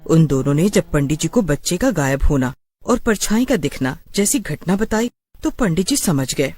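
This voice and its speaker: A man's voice: a little high, big pitch swings, normal speed, a little mellow, no room sound, studio quality. A man narrating a scripted horror story in a dramatic storytelling style.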